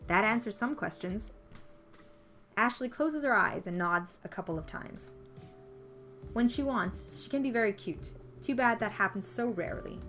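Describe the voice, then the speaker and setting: A woman's voice: fairly high pitch, animated, moderate pace, a bit bright. Woman reading a story over music. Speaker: game playthrough narrator. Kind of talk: reading visual novel narration aloud.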